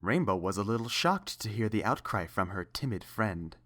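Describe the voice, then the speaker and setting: A woman's voice: very deep voice, lively intonation, normal speed, heavy background noise. A woman reading a scripted story aloud as the narrator.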